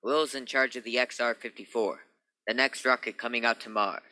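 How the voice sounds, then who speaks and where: A man's voice: mid-range voice, steady tone, moderate pace, sharp tone, low-quality recording. A man playing a commanding middle-aged Navy rocket captain with strong feeling in a radio play. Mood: happy.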